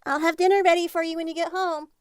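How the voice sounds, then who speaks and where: A woman acts out a sardonic wife in a storybook reading. Her voice lifts high and stretches words mockingly, exasperated yet fond, in a dry, close recording. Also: steady tone, fast, no room sound. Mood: fondly teasing.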